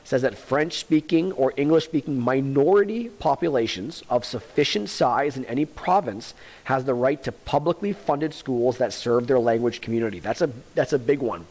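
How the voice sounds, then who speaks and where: A man's voice: medium pitch, darkish tone, rough recording. A man, a teacher reading a textbook aloud to students and explaining it plainly, repeating the key points.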